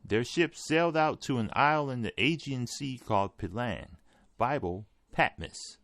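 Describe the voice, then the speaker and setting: A man's voice: medium pitch, lively intonation, unhurried, fairly bright tone, dry recording. A man reading a written article aloud as scripted narration. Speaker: article reader.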